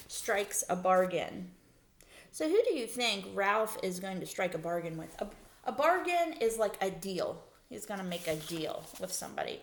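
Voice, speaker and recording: A woman's voice: mid-range voice, a bit bright, slight background noise. A woman schoolteacher leading a read-aloud lesson for children, explaining things simply and putting questions to her listeners. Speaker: elementary school teacher.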